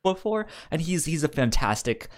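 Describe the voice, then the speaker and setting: A man co-hosting a podcast in casual conversation, speaking haltingly and often repeating or tripping over words. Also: on the high side, big pitch swings. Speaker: podcast co-host. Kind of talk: casual chat.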